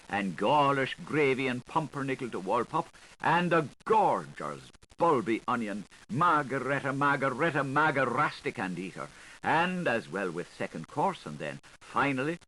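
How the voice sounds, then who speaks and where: A man reading a literary novel aloud as an audiobook, with a lilting, highly varied, expressive delivery. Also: low-quality recording. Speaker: audiobook reader. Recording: faint tape hiss, 1980s recording.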